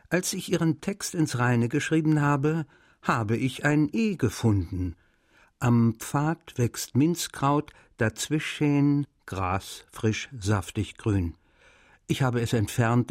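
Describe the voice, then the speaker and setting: An actor playing a polite, gently anxious male writer, carefully reading out a letter for a radio documentary. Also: mid-range voice, no room sound, clean studio sound.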